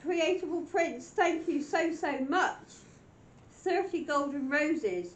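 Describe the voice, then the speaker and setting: A woman's voice: sits high, slow pace. A woman hosting a late-night ghost hunt livestream, chatty and giggly as she reads out viewers' names, her voice worn down by a cold. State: voice weakened by a cold. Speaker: paranormal investigation host. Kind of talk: casual livestream chat, reading out viewer comments. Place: stone room in an old mine building at night. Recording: echoey stone room, faint hiss.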